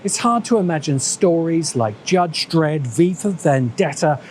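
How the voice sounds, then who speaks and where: A man's voice: fairly high pitch, animated, unhurried, slight background noise. A man delivering scripted, opinionated video-essay narration in a sardonic, emphatic manner. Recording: music bed under the voice. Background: background music.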